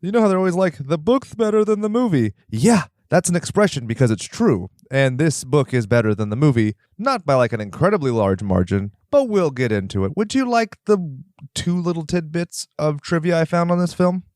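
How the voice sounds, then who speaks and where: A man's voice: on the high side, sing-song, a little fast, darkish tone, no echo. Joking male podcast host, dry close sound. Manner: joking, playfully theatrical. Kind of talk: casual movie review chat.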